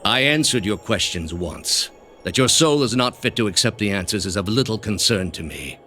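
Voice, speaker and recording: A deep, imperious zealot grandmaster. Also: male voice, lively intonation, bright, forceful tone, slight background noise. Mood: coldly condescending. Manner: pompous, deliberate, condescending. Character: cold, imperious male grandmaster of a zealous ancient order. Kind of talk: dramatic video game dialogue.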